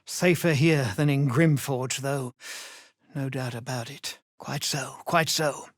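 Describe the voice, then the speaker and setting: A weary gnome rebel from a fantasy video game, speaks earnestly and without hurry. The voice is a touch husky and sits very close and dry, with folksy, measured phrasing. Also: mid-range voice, steady tone, no room sound. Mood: weary, grateful. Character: deep gnome rebels, weary but grateful freed captives. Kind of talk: video game character dialogue.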